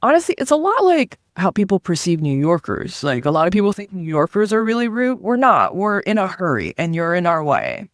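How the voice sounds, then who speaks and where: A woman from Taiwan, a comedian and guest on an interview, telling stories in an animated, chatty way and acting out the voices she quotes. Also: lowish voice, brisk, few pauses, no echo, studio quality. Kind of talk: casual interview storytelling.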